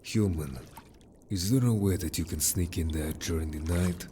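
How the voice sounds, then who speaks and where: A man's voice: low-pitched, moderate pace, dark tone, a little hiss or hum, lightly acted. A man playing a stern, wary merman in an audio story, curt and grave, distrustful of humans. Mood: guarded, businesslike. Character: stern, wary, disapproving merman information gatherer. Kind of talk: audio story character acting.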